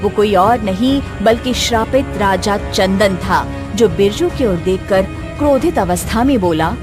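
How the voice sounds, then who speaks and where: A woman's voice: medium pitch, expressive, a little slow, over music. A woman reading scripted narration as the storyteller of a tale.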